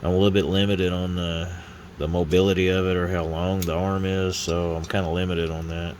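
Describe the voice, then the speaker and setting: Casual hobby vlogger, low-voiced man, congested and a bit nasal, meandering delivery recorded on a phone. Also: a little fast, a little hiss or hum. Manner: rambling, relaxed, frequent uh and restarts. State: stuffy nose from a sinus infection. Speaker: model-building vlogger. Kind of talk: casual hands-on build commentary. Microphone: phone on a holder arm.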